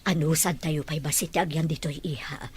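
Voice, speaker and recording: Low, husky mother played by a woman. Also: steady tone, normal speed. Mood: patient. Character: patient, reasoning mother staying in a remote village. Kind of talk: scripted Ilocano audio drama.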